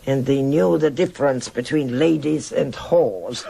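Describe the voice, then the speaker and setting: A woman's voice: low-pitched, huge pitch swings, slow pace, creaky, darkish tone, slight background noise, exaggerated voice. An actress playing a worldly, nostalgic old Berlin woman in a radio drama, theatrical and frank as she reminisces. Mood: blunt, amused. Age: older. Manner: theatrical, rambling reminiscence with sighs and interjections.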